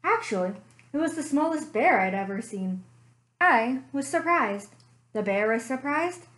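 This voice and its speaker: A young woman reading a picture book aloud for children, slow and expressive with playful sound effects, recorded on a webcam in a small room at home. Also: sits high. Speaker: children's librarian. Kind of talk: storytime read-aloud.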